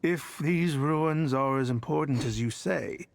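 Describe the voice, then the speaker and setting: An actor playing a greedy, blustering desert clan chief in a video game, turning between gruff suspicion and effusive eagerness. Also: male voice, a little high, measured pace, a little hiss or hum. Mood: suspicious. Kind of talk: dramatic video game voice acting.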